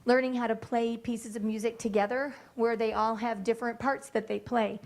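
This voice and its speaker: A woman's voice: a little high, fairly even intonation, a little mellow. A woman music teacher explaining a piece and directing her students in a meeting room. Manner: brisk, directive teacher talk. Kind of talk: classroom instruction to students. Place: school board meeting room.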